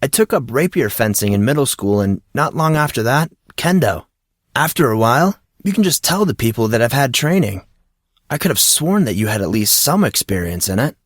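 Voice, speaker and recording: A man's voice: medium pitch, sing-song, moderate pace, no echo, clean studio sound. A man voicing a laid-back teenage boy who is keen on martial arts and talks in casual dude-and-bro slang.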